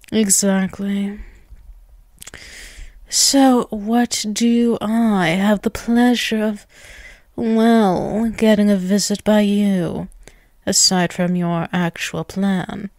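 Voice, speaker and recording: A woman's voice: mid-range voice, a little mellow, dry recording, studio quality. A woman voicing a dominant, ageless vampiress in an ASMR roleplay, teasing and condescending, drawing out her words. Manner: slow, condescending, teasing, with drawn-out words and chuckles. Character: dominant, taunting ageless vampiress toying with a captive human.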